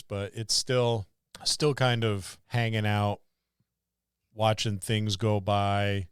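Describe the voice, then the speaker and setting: A man co-hosting a podcast, talking casually about tabletop gaming in short, considered phrases with pauses. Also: fairly low pitch, clear, resonant tone, no room sound, clean studio sound. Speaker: podcast co-host.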